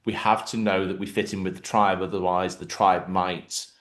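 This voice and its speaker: A man with a Mancunian accent hosting a relaxed podcast interview, explaining terms for the listeners. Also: low-pitched, moderate pace. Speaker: podcast host. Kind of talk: casual interview.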